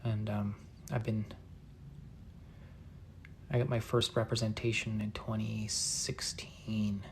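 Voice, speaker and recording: A man in his thirties, a painter chatting casually with viewers during a live session, soft-spoken and halting with many fillers and restarts. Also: on the low side, flat delivery, a little hiss or hum. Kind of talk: casual chat while painting.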